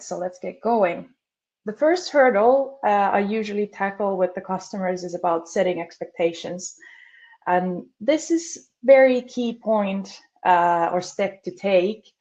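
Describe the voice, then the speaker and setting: A woman from Finland, a customer success specialist, giving a measured webinar presentation with frequent "uh" fillers. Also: medium pitch, darkish tone, highs cut off.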